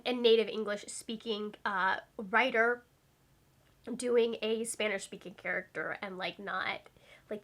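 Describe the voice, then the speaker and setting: A woman's voice: fairly high pitch, pushed and bright. A young woman chatting casually about a book she just read, rambling with lots of fillers and self-corrections. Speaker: book reviewer. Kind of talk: casual book review chat.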